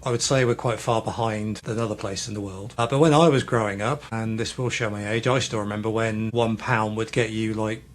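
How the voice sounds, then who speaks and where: A man's voice: lowish voice, fairly even intonation, fairly quick, few pauses. A British man in his thirties co-hosting a casual podcast, rambling and hesitant as he works through his thoughts. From Britain. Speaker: podcast co-host.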